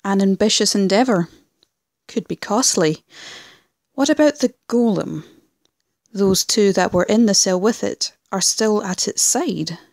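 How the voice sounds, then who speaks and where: A woman's voice: medium pitch, animated, a little slow, gravelly, a little mellow, no echo, clean studio sound. A woman playing a cool, disdainful criminal operative in an audio drama, speaking in a clipped way. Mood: scornful, cool.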